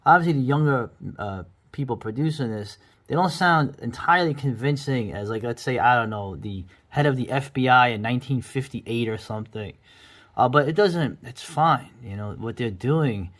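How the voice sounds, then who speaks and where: A man's voice: mid-range voice, animated, moderate pace, fairly bright tone. A middle-aged man giving casual, rambling, enthusiastic commentary as the host of a video review.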